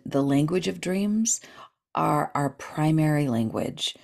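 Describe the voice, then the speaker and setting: Low-voiced animated woman guest. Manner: animated, gestures with hands while talking. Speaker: dream expert guest. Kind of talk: casual interview chat.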